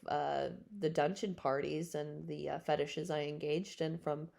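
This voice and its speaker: A woman's voice: sits low, vocal fry, darkish tone. A woman in her forties hosting a solo podcast, answering questions off the cuff in a frank, rambling, matter-of-fact way. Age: 40s. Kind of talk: casual unscripted solo podcast talk.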